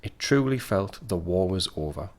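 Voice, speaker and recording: Man acting low-voiced young soldier son. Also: animated, moderate pace, darkish tone. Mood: wistful narrating. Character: young dead soldier son, wistful narrator, unseen by family. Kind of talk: audio drama narration and acting.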